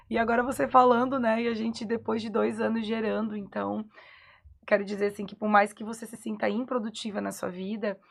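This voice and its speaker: A woman's voice: fairly high pitch, creaky. A Brazilian woman co-hosting a psychology podcast, talking reflectively in a casual conversation. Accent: Brazilian Portuguese. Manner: reflective, affirming with frequent "uhum".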